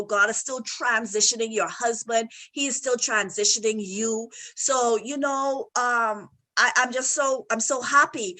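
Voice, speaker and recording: Woman marriage coach, high and bright, emphatic, encouraging faith talk. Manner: emphatic and encouraging, repeats phrases. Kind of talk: faith-based conversation.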